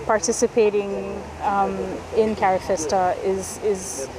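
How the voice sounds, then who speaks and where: A woman's voice: mid-range voice, fairly even intonation, a little slow, a crowd in the background, low-quality recording. A Haitian woman answering interview questions thoughtfully, with a few restarts. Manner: thoughtful, some restarts, hopeful.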